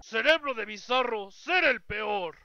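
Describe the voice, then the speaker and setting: A man's voice: very high voice, expressive, unhurried, vocal fry, dull audio, heavy background noise, heavily acted. A man with a Latin American Spanish accent voicing a dim-witted, childish, blunt superhero clone who talks in broken grammar, in a video game fandub. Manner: broken caveman grammar with bare infinitives.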